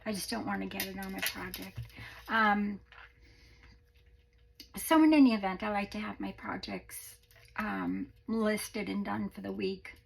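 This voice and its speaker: A woman hosting a crafting video, chatting casually through a tutorial with a croaky voice, rambling and self-deprecating. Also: medium pitch, a little slow, long pauses, low-quality recording. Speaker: crafting channel host.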